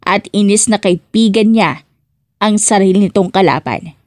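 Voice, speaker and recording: A woman's voice: medium pitch, normal speed, darkish tone, no echo, clean studio sound. A woman reading scripted dramatic narration as the narrator of an audiobook love story.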